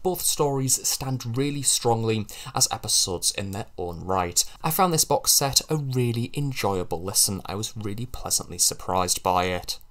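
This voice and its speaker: A man giving review commentary on audio drama in an earnest, measured way. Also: fairly low pitch, lively intonation, a bit bright.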